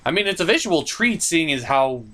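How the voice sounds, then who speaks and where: A man with a fairly high voice delivers a scripted comedic review. His pitch moves around a lot, with theatrical emphasis and a mock-incredulous edge. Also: big pitch swings, moderate pace, pushed and bright.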